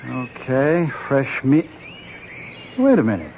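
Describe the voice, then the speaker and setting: A man's voice: mid-range voice, huge pitch swings, slow pace, full character voice. An actor playing an earnest, easily exasperated younger man on an expedition, emphatic and questioning, in an old-time radio drama. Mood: puzzled. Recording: dull vintage radio recording, faint noise.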